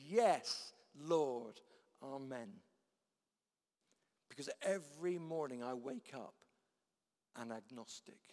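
Man preaching, slightly high voice, dynamic swings from loud to hushed, long hall reverb. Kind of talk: sermon.

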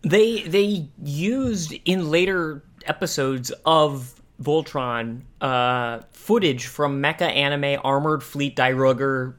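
A man co-hosting a podcast, talking casually and thinking aloud in a halting way. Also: fairly high pitch, steady tone, a bit bright. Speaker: podcast co-host. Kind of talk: casual discussion of animation.

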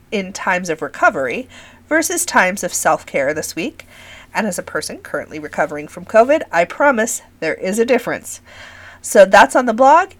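A middle-aged woman with a raspy, scratchy voice hosts a podcast in a chatty manner, calling her listeners friends. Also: medium pitch. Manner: chatty and warm-hearted, addresses listeners as friends. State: recovering from illness, scratchy voice. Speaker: podcast host. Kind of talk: casual podcast monologue.

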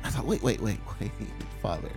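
A man giving an earnest, confessional sermon testimony, often halting and repeating words as he speaks. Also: lowish voice, sing-song, creaky, over music.

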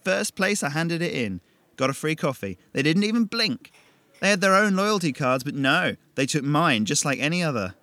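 A man voicing a cocky, excitable young man who talks loud and high. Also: no room sound. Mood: breezy excited. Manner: fast, loud and high-strung.

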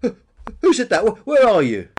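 A man voicing a brash, thuggish dinosaur in a children's story, boastful, blunt and loud. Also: fairly high pitch, lively intonation, normal speed, slight background noise, pitched above the performer's own voice, natural voice. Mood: indignant. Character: brash bullying bonehead dinosaur, thuggish, boastful and thick-skulled.

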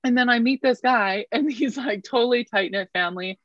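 A woman's voice: a little high, fairly quick, no echo. Canadian woman in her 30s, chatty, laughs a lot, sound kinda muffled and dead dry. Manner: chatty, self-deprecating, laughs often. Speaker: guest. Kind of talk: casual interview chat.

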